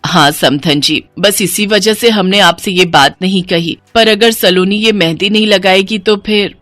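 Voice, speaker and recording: A woman's voice: mid-range voice, a little slow, fairly bright tone, no echo, faint music behind, natural voice. A woman voicing a concerned, practical middle-aged mother of a bride in a cartoon story.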